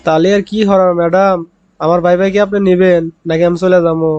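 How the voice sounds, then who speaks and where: A man's voice: sits high, level tone, fast, a little mellow, clear, resonant tone, highs cut off, faint music behind, lightly acted. A man voicing a pleading young job seeker in a cartoon, aggrieved and full of excuses, with a rural Bangladeshi accent. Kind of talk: cartoon comedy dialogue.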